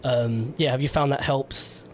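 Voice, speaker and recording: A young man hosting a casual radio interview, relaxed and chatty, full of "like" and "yeah". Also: mid-range voice, measured pace, slight background noise. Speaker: radio host.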